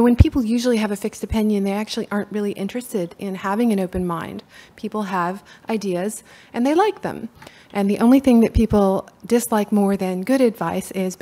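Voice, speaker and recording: A middle-aged woman from Appalachia with a soft-spoken Appalachian accent, giving a conference talk from a podium in a hall. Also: mid-range voice. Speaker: conference speaker. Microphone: podium mic from far camera.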